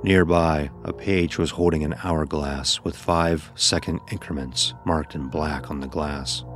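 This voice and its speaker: A man narrating a scripted first-person horror story in a grave, deliberate storytelling manner. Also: rumbling low.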